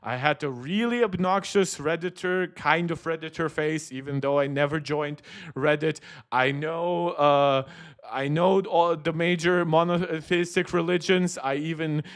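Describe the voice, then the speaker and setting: A man's voice: a little high, steady tone, measured pace. A young man from Germany giving rambling, opinionated live-stream commentary, full of restarts and fillers. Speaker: streamer reacting to a tech video.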